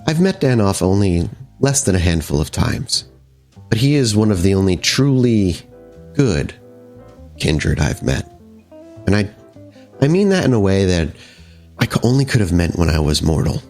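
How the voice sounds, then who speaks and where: A man voicing a courteous, guarded female vampire club owner in a tabletop game, with measured, formal phrasing. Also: low voice, sing-song, darkish tone, faint music behind.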